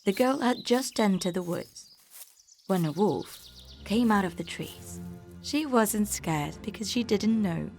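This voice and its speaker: A woman's voice: mid-range voice, lively intonation, a little slow, gravelly. Woman storyteller over faint music. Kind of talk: scripted fairy tale narration for children.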